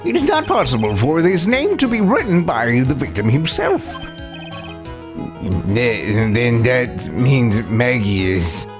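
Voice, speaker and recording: A man voicing an elderly courtroom judge from a video game, stern yet easily flustered, with halting, ponderous delivery. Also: medium pitch, sing-song. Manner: halting, stammering, ponderous pronouncements. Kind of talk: dramatic reading of a video game. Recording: game music behind the voice.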